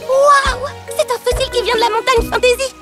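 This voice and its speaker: A woman's voice: very high-pitched, fairly even intonation, brisk, over music. A bubbly cartoon girl. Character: cheerful young woman in a cartoon friend group.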